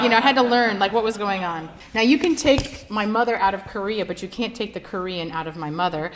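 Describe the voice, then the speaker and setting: A woman rabbi telling her life story in a relaxed, conversational way, with many "like" fillers and easy laughs. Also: medium pitch, a little hiss or hum. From United States, Washington State. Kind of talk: personal storytelling talk.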